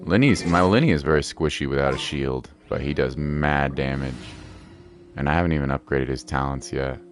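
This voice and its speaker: A man's voice: very deep voice, moderate pace, soft background music. A man giving casual, playful gameplay commentary as a streamer, often repeating his phrases. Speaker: game streamer.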